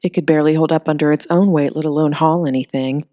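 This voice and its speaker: A woman reads a creature story aloud in an even, steady voice. Her pitch sits low for a woman. The recording is close and dry, with no room sound. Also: fast talker, dry recording. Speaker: audiobook narrator. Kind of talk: scripted storybook narration.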